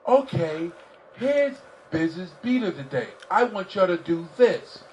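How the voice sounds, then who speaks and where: A man presenting a kids' music segment in a slow, lively way, played back from a video. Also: high-pitched, a little hiss or hum, full character voice. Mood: upbeat. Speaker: rapper hosting a kids' segment. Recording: dull distant audio played back.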